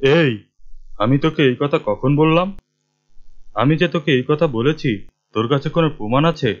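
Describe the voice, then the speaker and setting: A sly village uncle in an animated tale, played by a man, stingy and bossy. His voice is on the high side. The sound is dull and lo-fi. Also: moderate pace, lightly acted. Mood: dismissive, scolding. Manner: bossy, scolding. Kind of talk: animated story dialogue. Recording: dull lo-fi audio.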